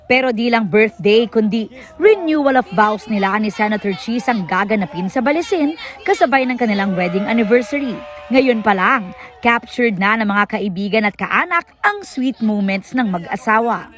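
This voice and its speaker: A woman with a Filipino accent narrates entertainment news from a script. Her pitch is on the high side, and she speaks as a broadcast presenter. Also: lively intonation, normal speed, soft background music.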